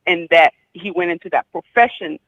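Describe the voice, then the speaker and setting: A man calling in on a phone line, a former police officer, hesitant, with many pauses and restarts. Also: a little high. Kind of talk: casual call-in chat.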